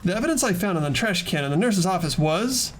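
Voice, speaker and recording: An earnest teenage student detective from a video game, acted out by a fan performer. The voice swings lively in pitch while deliberately explaining evidence, with music playing underneath. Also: lightly acted. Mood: earnest, insistent. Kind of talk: scripted game dialogue read aloud by fans. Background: background music.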